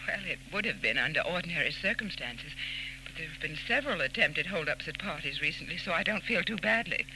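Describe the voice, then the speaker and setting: A gracious, upper-crust hostess in a 1940s radio mystery. Her manner is formal and polished. The recording is old, with clear noise behind her. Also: low voice, expressive, quick pace. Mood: composed, recalling. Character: gracious, well-bred society hostess lady. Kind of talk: 1940s radio drama acting.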